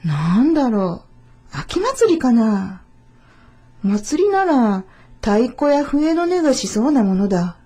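Woman voicing a pensive little fox, soft-toned. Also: a little high, sing-song, takes it slowly, husky, exaggerated voice. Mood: curious, puzzled. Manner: musing to himself. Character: lonely, mischievous young male fox, pensive and remorseful.

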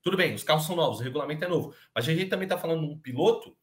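A young Brazilian man talks quickly and animatedly on a video call podcast, his voice set a little high for a man. He argues his points with strong emphasis, repeating himself to drive them home. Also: lively intonation. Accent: Brazilian Portuguese. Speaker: podcast panelist. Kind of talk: casual chat about motor racing.

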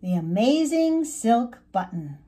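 A woman reads a children's storybook aloud in an animated, swooping voice. She takes her time, stretching key words for emphasis, and her tone has a husky edge. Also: a little high, takes it slowly. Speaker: children's author reading aloud. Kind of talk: expressive storybook narration.

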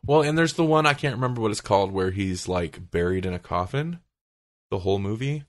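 A man's voice: on the low side, lively intonation, brisk. A man podcast co-host chatting casually about movies and comics.

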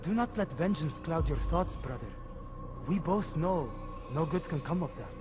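A man's voice: a little high, expressive, normal speed, close to an everyday voice. A man playing a cautious, loyal assassin bureau keeper in a video game, earnest and advising. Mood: earnest counsel. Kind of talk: dramatic video game cutscene acting. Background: music.

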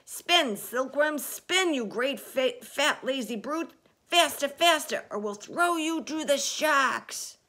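A woman voicing a rough storybook bully who shouts harsh taunts and threats. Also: high voice, expressive, takes it slowly, voice pushed up, full character voice. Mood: furious, bullying.

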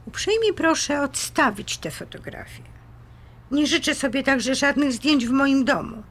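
A proud, composed woman guarding her home in an audio drama. Her high voice moves expressively, formal and firm with icy politeness, over faint background noise. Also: expressive, unhurried, a bit bright.

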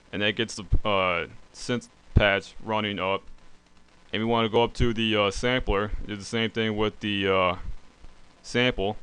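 Low, very clear-voiced man giving a tutorial. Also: a bit bright, rough recording. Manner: plain, step-by-step instructing. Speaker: tutorial presenter. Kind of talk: unscripted software tutorial narration.

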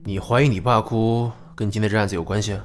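A man's voice: fairly low pitch, steady tone, fast, soft background music, lightly acted. A man playing an easygoing, bantering police colleague in a conversational audio drama. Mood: teasing. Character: easygoing male police colleague, teasing and slightly evasive.

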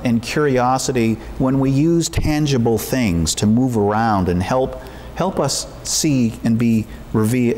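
A middle-aged man speaks as a pastor to his congregation. His delivery is measured and encouraging, informal but prayerful, at a medium pitch. There is some faint noise behind him in the room. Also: a little mellow. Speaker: pastor leading a church service. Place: church sanctuary, at a wooden pulpit.

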